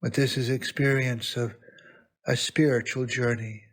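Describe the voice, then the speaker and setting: A man leading a guided meditation as a priest, speaking reverently in short phrases with long pauses between them. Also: medium pitch, fairly even intonation. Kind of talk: guided meditation, spoken prayer reflection.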